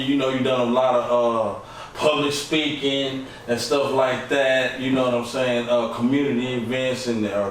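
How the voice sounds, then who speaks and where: A man hosting a casual interview, friendly and loose, dropping "man" and fillers into almost every line. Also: medium pitch. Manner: friendly, filler-heavy, says "man" constantly. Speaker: podcast host. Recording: echoey room, mic far off, faint hiss.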